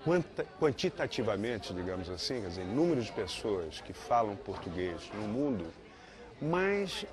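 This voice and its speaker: A man's voice: lowish voice, big pitch swings, normal speed, a crowd in the background. A male expert giving an earnest interview statement, restarting phrases as he searches for words. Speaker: language expert. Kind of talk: interview testimony.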